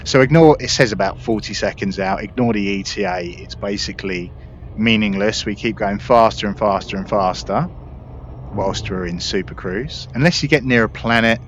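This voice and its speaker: A man with a fairly low voice talks casually through his gameplay. The audio is a little dull, with faint noise from the spaceship game behind him. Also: normal speed. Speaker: gaming video creator. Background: spaceship game ambience.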